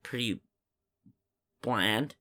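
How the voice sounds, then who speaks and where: A podcast co-host casually discussing films in a halting way, often searching for words. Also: mid-range voice, bright, forceful tone.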